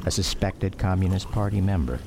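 A man's voice: sits low, a little fast, noisy background. An actor with an American accent playing a grown son narrating memories of his childhood in a radio drama. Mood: reflective. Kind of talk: scripted radio drama narration, first-person memoir. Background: music under the voice.

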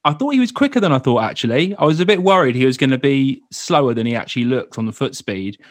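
A man's voice: medium pitch, animated, fast, dry recording. A man hosting a casual football podcast, chatty, often breaking off mid-sentence. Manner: chatty, self-interrupting. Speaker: podcast host.

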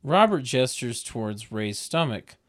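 A narrator reading stage directions in a deadpan, wry, mock-literary comic style. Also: medium pitch, measured pace, no room sound, studio quality. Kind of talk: deadpan comedic script narration.

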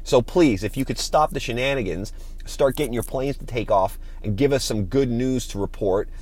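A man's voice: medium pitch, moderate pace, darkish tone. A man hosting a podcast, giving an opinionated, informal monologue with casual swearing. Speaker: podcast host.

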